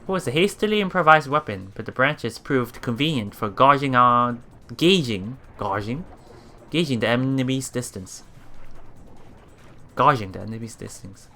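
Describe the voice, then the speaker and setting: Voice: medium pitch, lively intonation, moderate pace, sharp tone, soft background music. A let's play streamer reading game text aloud with casual reactions, often stumbling over words and repeating them.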